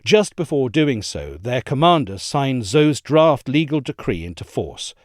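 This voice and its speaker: A man giving a measured, articulate scripted narration of a history essay. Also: mid-range voice, sing-song, dry recording, clean studio sound. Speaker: essay reader.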